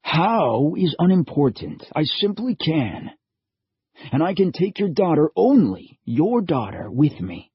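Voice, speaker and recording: A man voicing a mysterious old stranger who speaks in a grave, measured, gentle way. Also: on the high side, sing-song, husky, no echo, voice pushed up, full character voice. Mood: calm. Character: mysterious ancient male stranger, calm, sorrowful. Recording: dull lo-fi audio.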